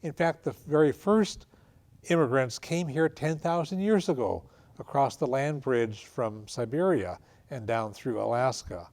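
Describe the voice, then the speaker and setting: A man hosting an interview program, introducing his guests and asking questions in a measured way. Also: medium pitch, a little mellow. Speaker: radio show host.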